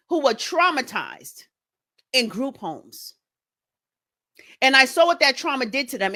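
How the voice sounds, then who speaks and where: A middle-aged woman speaking deliberately and pointedly in direct address, repeating phrases for emphasis. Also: high voice, pushed and bright. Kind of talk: direct address response video.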